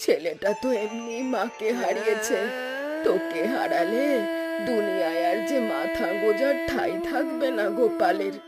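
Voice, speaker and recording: A woman's voice: medium pitch, animated, a little slow, close to an everyday voice. A woman voicing an older village aunt who is stern and caring, scolding yet motherly in her way of talking. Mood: consoling. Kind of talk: Bengali cartoon dubbing. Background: loud background music.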